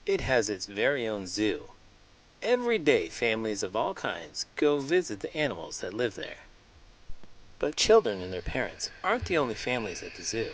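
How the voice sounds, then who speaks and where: A man with an average-pitched voice hosts a children's read-aloud. He tells the story expressively and emphatically, with highly varied intonation. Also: low-quality recording. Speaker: children's book reader and host, teacher.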